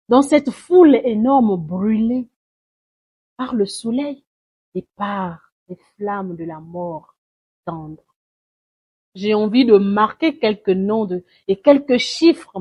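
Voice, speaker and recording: Slow, husky woman reciting poetry. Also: medium pitch. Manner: solemn, mournful, deliberate phrasing with swells and hushes. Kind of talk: dramatic spoken-word poetry recitation.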